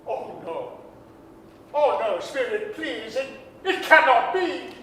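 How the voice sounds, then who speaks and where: An actor playing a frightened, repentant old miser who pleads and stammers, in the style of a staged 1930s radio drama. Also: male voice, very high voice, big pitch swings, slow pace, fairly bright tone, exaggerated voice. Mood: dread. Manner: pleading, stammering, anguished exclamations. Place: performing arts center stage. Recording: echoey hall from far off, faint noise.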